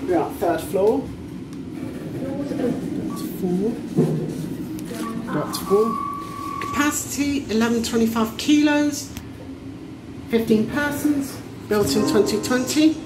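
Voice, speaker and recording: A woman's voice: on the high side, expressive, slow pace, pauses often, noisy background. A woman giving casual running commentary on floors and specs while filming inside a mall lift on a handheld camera. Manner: plain narrating of floors and specs. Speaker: vlogger filming lifts. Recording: loud music under the voice. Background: loud music.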